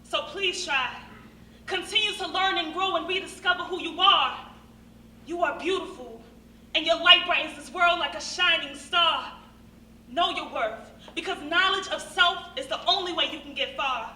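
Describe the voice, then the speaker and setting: An echoey recording of a young American woman on stage, heard from far off. Her voice is high and very bright. She recites spoken-word verse earnestly, in dramatic rhythm with deliberate pauses. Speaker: monologue performer. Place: stage at a live show. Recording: echoey hall from far off, faint noise.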